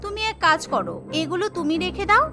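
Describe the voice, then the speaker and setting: Young cartoon daughter-in-law, female performer, high, modest and deferential, music underneath. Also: big pitch swings, quick pace, full character voice. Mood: modest, polite. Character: meek, polite young bride from a poor family. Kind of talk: cartoon character dialogue.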